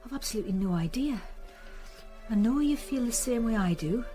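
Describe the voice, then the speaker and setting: An actress playing a pious, prim, indignant older sister in a radio drama. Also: female voice, fairly high pitch, expressive, brisk, warm tone, soft background music, close to an everyday voice. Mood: flustered, guarded. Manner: prim, righteous, protesting.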